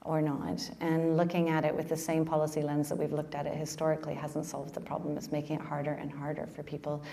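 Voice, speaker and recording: A woman's voice: low voice, monotone, fast talker, vocal fry, dark tone. A woman speaking earnestly as a panelist in a discussion.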